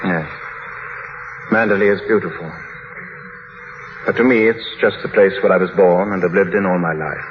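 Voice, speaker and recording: A somewhat low-voiced, curt English aristocrat played by a man, brooding and used to giving orders. He talks in clipped 1940s radio drama style over a narrow, muffled old broadcast with slight noise. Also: fairly even intonation, moderate pace, long pauses, lightly acted. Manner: brusque, commanding, clipped.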